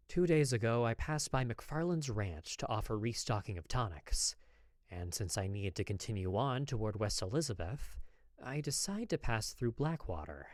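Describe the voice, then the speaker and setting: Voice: medium pitch, darkish tone, no room sound, clean studio sound. A voice actor playing a nervous, lovestruck apothecary of the old west, confessing in hesitant, dramatic first-person narration for a podfic. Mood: wistful, reflective.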